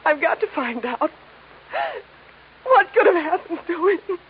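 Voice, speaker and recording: A woman's voice: very high-pitched, animated, unhurried. An actress playing a refined, anxious young woman, polite and guarded, in a 1950s radio drama. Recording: tinny, noisy old radio recording.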